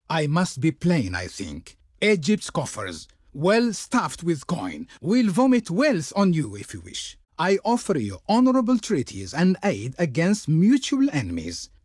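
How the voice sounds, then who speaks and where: An actor playing a haughty, fawning envoy of an Egyptian Pharaoh in a video game, with a pompous, ceremonious, declamatory delivery. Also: male voice, fairly high pitch, a little mellow, breathy edge, no echo, clean studio sound, full character voice. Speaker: diplomat character in strategy game. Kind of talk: theatrical game voice acting.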